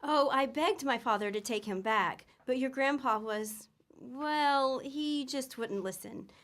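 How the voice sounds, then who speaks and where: Sweet young woman, earnest, pleading, high voice, old radio comedy. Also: slow pace, a bit bright. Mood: fond.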